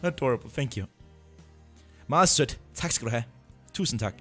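A man's voice: medium pitch, normal speed, faint music behind. A man hosting a livestream, chatting casually and jokily and often repeating himself. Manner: excitable, jokey, repeats himself. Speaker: livestream host. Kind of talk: casual livestream chat, greeting viewers.

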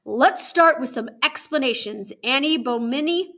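An indignant male prison warden from a storybook, played by a woman, scolds with hard emphasis. The voice is bright and forceful, pitched fairly high for the part, and full of stern authority. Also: lively intonation, unhurried, heavily acted. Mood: cold anger.

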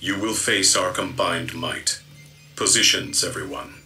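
An actor playing a grave superhero commander in a video game, formal and commanding. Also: male voice, low voice, measured pace, sharp tone, faint music behind, full character voice. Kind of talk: video game character acting.

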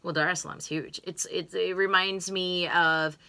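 Expressive, bright-voiced American woman. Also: fairly low pitch, normal speed, vocal fry. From United States. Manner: expressive, gestures with hands, emphatic repetitions. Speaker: interviewee, co-host. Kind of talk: casual conversation. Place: small home room with bookshelves, indoors. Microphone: desk microphone on stand.

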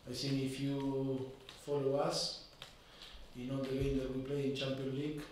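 Halting football manager, echoey room. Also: male voice, medium pitch, completely flat delivery, a little mellow, slight background noise. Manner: measured, halting second-language English with many fillers. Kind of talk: post-match press conference. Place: press conference room. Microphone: desk microphone. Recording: echoey room, mic at a distance.

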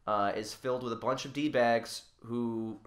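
A man's voice: medium pitch, steady tone, measured pace, fairly bright tone. A young man co-hosting a podcast in casual comedic banter, joking crudely and swearing freely. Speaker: podcast co-host.

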